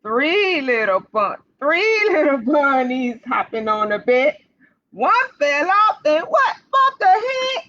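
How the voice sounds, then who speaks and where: A woman hosting storytime for young children, reading aloud in an animated, sing-song way and putting questions straight to the kids. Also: sits high, takes it slowly. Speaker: library storytime host for children. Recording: dull, lo-fi livestream sound.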